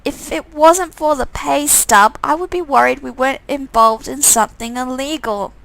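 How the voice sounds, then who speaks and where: Woman playing a bright-voiced, suspicious young heroine. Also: high voice, normal speed, creaky, no room sound. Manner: questioning, frank. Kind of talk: acted visual novel dialogue.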